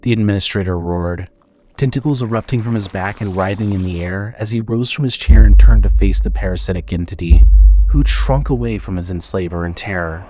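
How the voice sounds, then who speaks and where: Male narrator for horror audio fiction, lowish register, measured and dramatic. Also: warm tone, slight background noise, rough recording.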